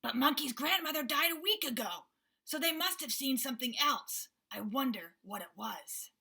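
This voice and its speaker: A woman's voice: sits high, animated, normal speed, vocal fry, sharp tone, breathy edge, voice pushed up, exaggerated voice. A woman reading as a gossiping wild boar. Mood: curious.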